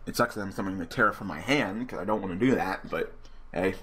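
Young guy losing his voice, lowish and hoarse, cussing and rambling over his duels, bit of faint hiss. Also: fast talker. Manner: profane, rambling, excitable rants. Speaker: gaming channel host. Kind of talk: casual game commentary.

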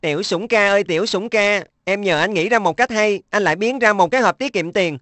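Schoolboy, middle sibling, played by a woman. Mid-pitched, earnest and a touch plaintive, children's cartoon dub. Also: a little fast, fairly bright tone, no echo, natural voice. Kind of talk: Vietnamese-dubbed children's cartoon.

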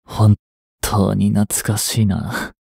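A man in his twenties playing a reluctant, guilt-ridden young hitman in an audio drama, softly spoken and halting. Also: fairly low pitch, monotone, creaky, slightly rough, no echo, clean studio sound, exaggerated voice. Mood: feigned casual. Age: 20s. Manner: halting, subdued delivery. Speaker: voice actor. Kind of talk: Japanese audio drama monologue and dialogue.

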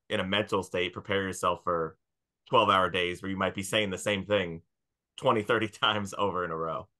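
A man's voice: low-pitched, bright, forceful tone. A man in his thirties interviewing casually as a podcast host, on a headset in a video call. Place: indoor room on a video call.

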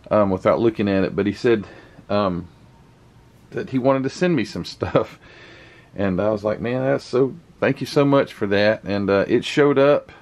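A man hosting his model car channel, chatting casually while unboxing a parcel, his voice croaky from the morning, with lots of restarts. He talks indoors into a camera set a little way back from him. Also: fairly low pitch, highs cut off. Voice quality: rambling, restarts often. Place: indoor room with camera on a table. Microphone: camera mic set back from him.

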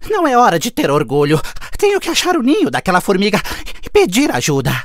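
A woman voicing a carefree, lazy grasshopper musician in a cartoon fable, proud but humbled, with an expressive, dramatic delivery. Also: medium pitch, quick pace, no echo, clean studio sound. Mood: resolved. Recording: music behind the voice.

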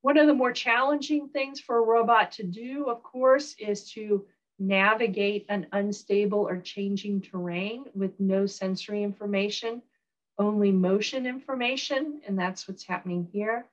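A woman's voice: mid-range voice, moderate pace. Woman, Southern US accent, professor, online lecture, lots of ums, slightly dull computer mic. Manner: relaxed, frequent ums and uhs.

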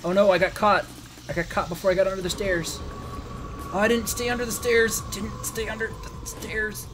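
A slightly hoarse man giving casual, rambling gameplay commentary as a let's play host, sounding wry and exasperated. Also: high-pitched, little pitch movement, normal speed, noisy background. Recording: game music behind the voice. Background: video game music.

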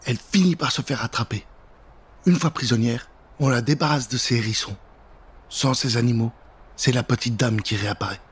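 A man's voice: medium pitch, moderate pace, breathy edge. A man in his thirties telling a children's Halloween story in a dramatic, suspenseful way at a studio microphone. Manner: theatrical, suspenseful. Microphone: studio microphone with pop filter.

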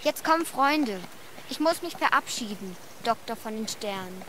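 Gentle young alien woman, radio drama, high and bright, faint ambience behind. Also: lively intonation, normal speed, slight background noise. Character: gentle young female alien bidding farewell. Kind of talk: German radio drama acting.

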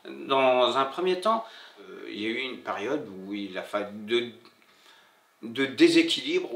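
A middle-aged man from Morocco who learned French later in life, telling his own story reflectively and haltingly, searching for his words. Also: mid-range voice, fairly bright tone. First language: not French, learned it after moving to France. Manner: halting, searching for words with many pauses and repetitions. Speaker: interviewee, bookseller. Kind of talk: reflective personal storytelling in an interview. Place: indoor room with bare wall, seated. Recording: roomy echo, slightly distant mic.

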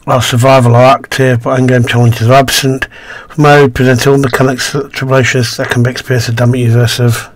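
A man with a croaky, slightly coughing throat, casually commentating a game, reading on-screen text aloud and muttering to himself as he plays. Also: mid-range voice, little pitch movement, a little fast, few pauses. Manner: mumbles to himself while playing, reads game text aloud. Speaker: gaming video host. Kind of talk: casual let's-play commentary.

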